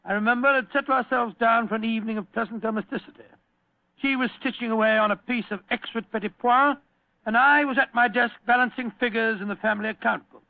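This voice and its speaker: A genial, fussy British gentleman storyteller in an old radio play. His voice is high and crackly, and he blusters and repeats himself. The sound is thin and phone-like. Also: fairly even intonation, fast, vocal fry. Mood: reminiscing, genial. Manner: blustering, hesitant, repeats words. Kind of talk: vintage radio drama acting.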